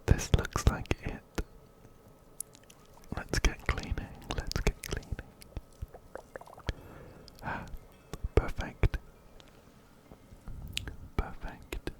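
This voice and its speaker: A man whispering softly right up close to the microphone in an ASMR game playthrough, unhurried and repeating phrases as he reads dialogue aloud. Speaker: video game streamer and ASMR creator. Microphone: close-up binaural microphone, ear to ear.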